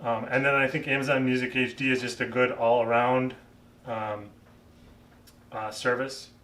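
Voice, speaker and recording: A man giving a casual, unscripted gear review, thinking aloud in a halting way as a vlogger. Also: medium pitch, little pitch movement, fairly bright tone. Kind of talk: casual unscripted product talk. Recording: roomy echo, distant microphone.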